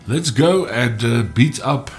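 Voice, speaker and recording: A man from with a stuffy cold voice, giving relaxed gameplay walkthrough commentary. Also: medium pitch, slow, soft background music. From South Africa. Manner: relaxed, explanatory, with frequent "uh" fillers. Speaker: gaming channel host.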